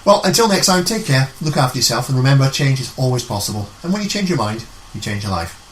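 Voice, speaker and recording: A man's voice: medium pitch, expressive, fast. A man hosting a conversational radio interview, often repeating words as he goes. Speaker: radio show host. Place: living room of a home. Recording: roomy echo, faint background noise.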